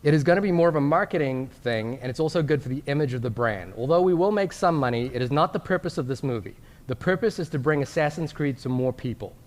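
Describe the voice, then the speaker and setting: A man's voice: fairly high pitch, lively intonation, quick pace, few pauses, long reverb tail. A man co-hosting a film podcast as a live panel at a convention, addressing the audience with frequent restarts.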